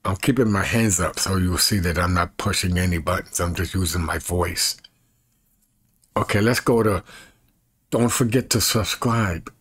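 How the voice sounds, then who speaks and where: A man in his fifties with a husky voice, chatting casually to camera in a folksy way and repeating himself as he demonstrates. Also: low-pitched, normal speed, fairly bright tone, no echo. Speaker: evangelist and video creator. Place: small bedroom, indoors.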